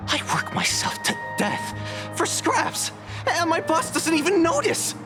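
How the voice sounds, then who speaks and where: Very high, swooping, fed-up woman worker. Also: wildly swooping pitch, measured pace, music in the background, exaggerated voice. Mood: weary, aggrieved. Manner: sighing, aggrieved complaining. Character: overworked, fed-up female employee in a crowd of followers.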